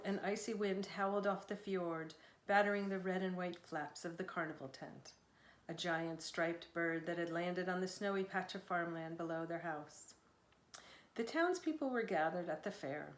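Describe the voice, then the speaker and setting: A woman author reading narrative prose from her book in a measured, expressive storytelling manner. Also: fairly low pitch. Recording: echoey room sound.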